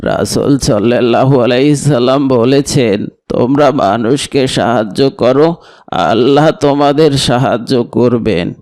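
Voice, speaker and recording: Cartoon role: kindly pious village elder acted by a man, unhurried and solemn, mid-range male pitch. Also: darkish tone, close to an everyday voice. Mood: grateful, solemn. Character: kindly, devout old village grandfather. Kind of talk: cartoon voice acting.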